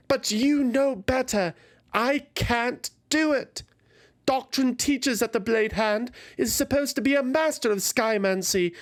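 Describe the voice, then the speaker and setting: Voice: mid-range voice, pitched above the performer's own voice, close to an everyday voice. A narrator voicing an anguished young feathered warrior woman in a fantasy audio story, impassioned and pleading, full of despair and self-loathing.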